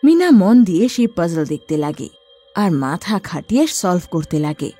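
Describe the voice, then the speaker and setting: A woman narrating a cartoon horror story with a lively, rising and falling pitch. Her tone is warm and slightly husky. Soft music plays faintly behind her. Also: measured pace. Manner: expressive storytelling.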